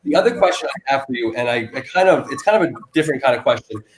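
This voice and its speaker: A man hosting an animal rescue live stream, talking loudly in a rambling way full of restarts and fillers. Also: medium pitch, animated, racing, reverberant, slight background noise, poor audio. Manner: loud, self-described loud person. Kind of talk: casual live-stream interview.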